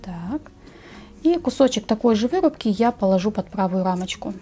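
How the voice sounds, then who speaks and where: A woman narrating a step-by-step craft tutorial in a measured, explanatory way. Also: medium pitch, expressive, dark tone, faint music behind.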